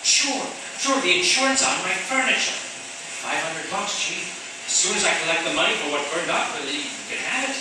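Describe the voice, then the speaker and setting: Man playing a shifty, high-pitched, wheedling schemer. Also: expressive, a little fast. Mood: frantic pleading. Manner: blustering, defensive, slangy, stammering. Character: shifty, wheedling tenement husband, a cowardly working-class schemer. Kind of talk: radio theatre acting. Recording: echoey room, distant mic, clear noise.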